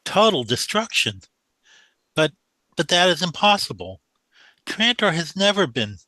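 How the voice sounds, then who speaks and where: A man's voice: sits high, sing-song, unhurried, sharp tone, dry recording, full character voice, rough recording. An actor playing an earnest, humble young mathematician who speaks deferentially to his mentor in a radio drama. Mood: shocked.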